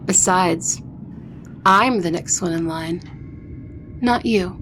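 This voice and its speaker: A woman's voice: on the low side, noisy background. A woman playing an arrogant, ruthless vampire princess in an audio roleplay, speaking in a haughty, threatening way. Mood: cold, threatening. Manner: haughty, threatening, slow deliberate delivery. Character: arrogant vampire princess, ruthless eldest sister, war-hungry.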